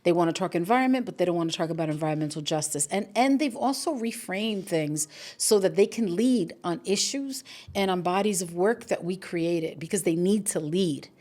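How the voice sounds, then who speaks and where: A middle-aged woman, talking thoughtfully and deliberately in a conversational interview, stressing key words. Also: on the low side, darkish tone. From New York City. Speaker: podcast host and activist being interviewed.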